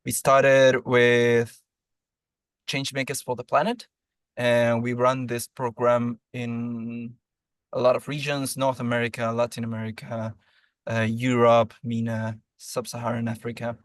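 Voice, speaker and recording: A man from Argentina, a program lead, answering interview questions in a measured way with some ums. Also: mid-range voice, completely flat delivery, no echo.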